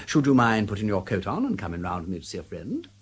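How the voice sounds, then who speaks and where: An actor playing a breezy, urbane aristocratic detective in a British radio drama, with an upper-class English accent and a flippant manner. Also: male voice, on the low side, wildly swooping pitch, fast, background noise.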